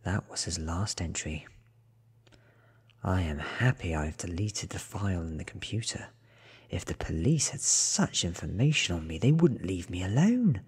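A man in his thirties reading a book softly for ASMR, hushed and deliberate, sometimes dropping toward a whisper. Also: low-pitched. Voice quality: soft, breathy, husky. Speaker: audiobook reader.

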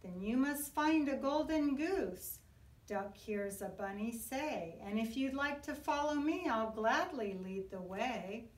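A middle-aged woman, a kindergarten teacher, reading a children's picture book aloud in an expressive, sing-song storytelling manner. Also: fairly high pitch, takes it slowly. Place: indoor classroom. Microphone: camera a few feet away. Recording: roomy echo, distant microphone.

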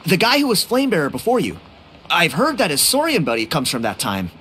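A man's voice: on the high side, wildly swooping pitch, a little fast, bright, forceful tone, slight background noise, exaggerated voice. An actor playing an upbeat young tribesman in a game, chatty, casual and earnest. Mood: proud, enthusiastic. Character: upbeat young tribesman, eager amateur relic hunter. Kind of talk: English game voice acting. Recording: studio voice over game music.

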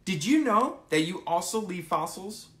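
A man in his twenties hosting a children's storytime, reading aloud and chatting informally in an animated way, recorded in a small room at home. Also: high-pitched, moderate pace. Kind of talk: casual live storytime, reading aloud to kids. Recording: roomy home phone recording.

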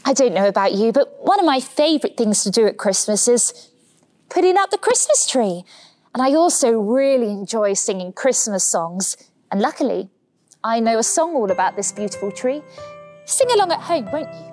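A woman's voice: a little high, big pitch swings, moderate pace, a little mellow. A young woman performing as a cheerful, theatrical pantomime sing-along leader, addressing viewers directly. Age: young adult. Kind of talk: children's presenter talk and Christmas carol singing. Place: indoor studio set with Christmas trees. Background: instrumental Christmas music.

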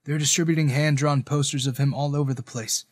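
A man's voice: medium pitch, fairly even intonation, brisk, dry recording, studio quality, natural voice. A man voicing a nervous male army nurse in a story, passing on rumours uneasily.

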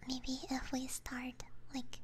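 A woman whispering softly and gently into a close binaural microphone, chatting casually with stream viewers as an ASMR host. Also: high-pitched, monotone, slow, slight background noise. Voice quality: breathy whispering.

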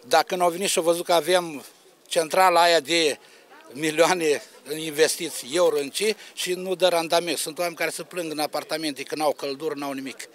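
A man's voice: a little high, fairly even intonation, a bit bright. A man in his fifties, a market trader airing complaints in a street interview on a handheld microphone. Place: market stall in open air. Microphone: handheld reporter microphone.

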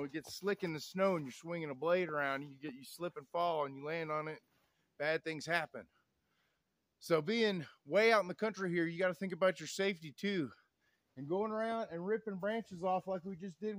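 A man's voice: high voice, a bit bright, dry recording. A man, talking to camera in snowy woods in a folksy, preachy way and saying "y'all" a lot. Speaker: off-grid vlogger. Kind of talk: outdoor vlog talk to camera. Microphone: camera on tripod, a few meters away.

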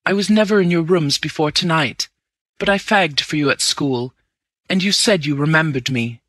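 A man voicing a desperate young gentleman who has lost everything, pleading and anguished. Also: fairly high pitch, drawn-out words, creaky, no room sound, heavily acted.

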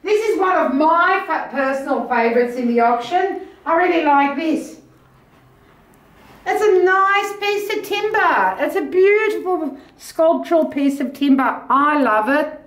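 High-voiced Australian woman in echoey rooms. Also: lively intonation, measured pace. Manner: chatty, enthusiastic. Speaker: auction house presenter. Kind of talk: casual walk-through tour of auction items. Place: small indoor rooms of an auction house.

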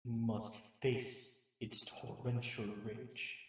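A man playing a wise, solemn old mutant rat master, narrating gravely and poetically in a comic dub. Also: mid-range voice, level tone, extremely slow.

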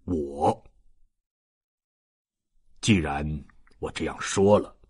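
A man voicing a sorrowful old ex-convict in an audiobook, solemn and halting, with a husky voice. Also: extremely low pitch, a little mellow, dry recording, clean studio sound, pitched below the performer's own voice, full character voice. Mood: solemn, firm. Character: sorrowful old male ex-convict, grave, confessing.

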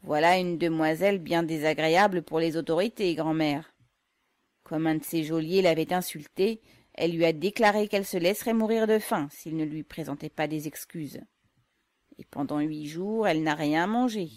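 A woman reading aloud, voicing a jovial stout young man with a hoarse voice who chatters loudly without pausing for breath. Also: deep voice, dry recording, natural voice.

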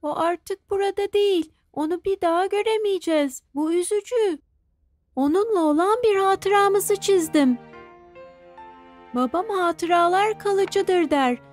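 A woman voicing a gentle, sensitive little preschool boy in a children's cartoon dub, speaking in simple, earnest phrases. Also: extremely high pitch, a little slow. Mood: wistful, earnest. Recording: studio dub over background music.

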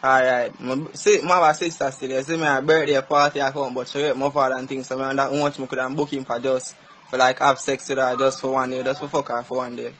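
A man with a Jamaican accent and a bright, forward voice talks casually as a prank caller. The recording is slightly noisy, like a phone call. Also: mid-range voice, flat delivery, brisk. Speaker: prank caller, show host.

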